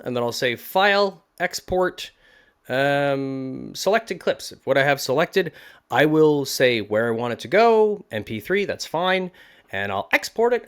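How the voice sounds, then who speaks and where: A man hosting a tutorial, talking casually and explaining things step by step in an informal way. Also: mid-range voice. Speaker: voice acting tutorial host.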